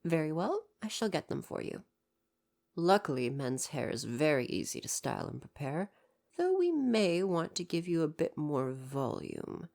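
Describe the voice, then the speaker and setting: A woman plays a devoted royal butler for an ASMR roleplay. The voice sits a little low for a woman and moves freely in pitch, with formal, deferential phrasing and a parent's fussing care. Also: sing-song, moderate pace, vocal fry, darkish tone, heavily acted. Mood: attentive, fond. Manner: formal, deferential, precise wording.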